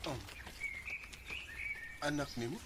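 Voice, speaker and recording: An actor playing a remorseful young ex-boyfriend pleading for another chance in a radio drama, with a Cebuano Filipino accent. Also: male voice, takes it slowly, close to an everyday voice. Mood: probing. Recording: very noisy radio drama track.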